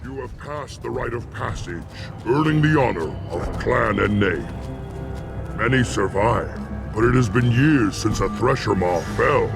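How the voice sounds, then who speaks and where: Stern alien elder type, lowish gravel voice, solemn n formal, game music under it. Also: male voice, lively intonation, a little slow. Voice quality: low, gravelly. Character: stern, formal krogan alien clansman, proud and weighty. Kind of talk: video game character acting.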